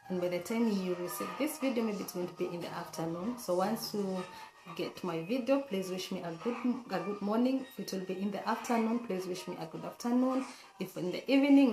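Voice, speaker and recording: Hoarse woman vlogging in an echoey room. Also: medium pitch. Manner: chatty, earnest, many fillers and restarts. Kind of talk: casual talk to camera.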